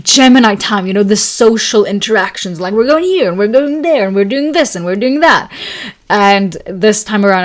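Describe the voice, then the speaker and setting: A young adult woman whose first language is Serbo-Croatian, telling a story in a casual, chatty monologue as an astrology host, full of fillers and restarts. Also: medium pitch. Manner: chatty, many fillers and restarts, laughs often.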